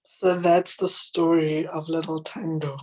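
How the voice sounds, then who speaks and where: A woman with a deep, husky, raspy voice reading her own children's picture book aloud and chatting about it. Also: flat delivery, low-quality recording. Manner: gentle, unhurried reading aloud with picture commentary. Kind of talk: children's storybook reading, then casual chat.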